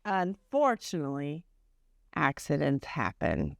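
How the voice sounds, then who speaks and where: A woman's voice: low-pitched, huge pitch swings, unhurried, creaky, dry recording, clean studio sound, pitched below the performer's own voice, exaggerated voice. A woman voicing a wistful, anxious but determined egg, telling its picture book story earnestly. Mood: earnest, hopeful. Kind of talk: first-person picture book narration.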